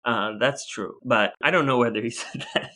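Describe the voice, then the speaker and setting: A man's voice: mid-range voice, moderate pace, sharp tone. A man co-hosting a podcast in casual, joking chat, with a wisecracking way of talking. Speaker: podcast co-host.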